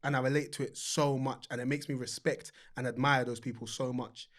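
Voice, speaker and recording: A man's voice: medium pitch, flat delivery, fairly quick, a bit bright. A man hosting a podcast, chatting casually in a laid-back, slangy way. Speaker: podcast host.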